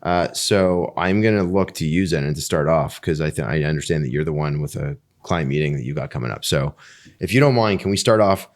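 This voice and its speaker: A male podcast host who chats loosely and jokes, with fillers and quick interjections. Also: rumbling low. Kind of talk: ad read then casual interview.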